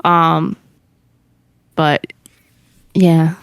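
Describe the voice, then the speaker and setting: A woman with a lowish, smooth and resonant voice chats casually as a podcast co-host. She talks hesitantly and searches for words, and the recording is close and dry. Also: little pitch movement, very slow, no room sound. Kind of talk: casual chat.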